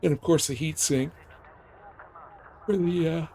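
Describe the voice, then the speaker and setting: A man's voice: a little high, fairly even intonation, measured pace, dark tone. A man chatting informally and slangily as he gives rambling live commentary on a video game he is streaming. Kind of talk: casual live gameplay commentary. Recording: clear background noise.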